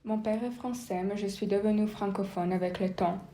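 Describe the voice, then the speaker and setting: Flat-voiced woman in a roomy recording. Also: mid-range voice, normal speed. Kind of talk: personal testimony. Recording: roomy with faint noise.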